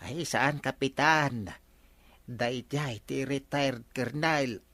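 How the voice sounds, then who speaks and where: A matter-of-fact villager in a radio comedy. His voice is creaky and bright, recorded dry and close. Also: mid-range voice, slow, no room sound. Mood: informative. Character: matter-of-fact male villager bearing news. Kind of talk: Ilocano radio comedy drama acting.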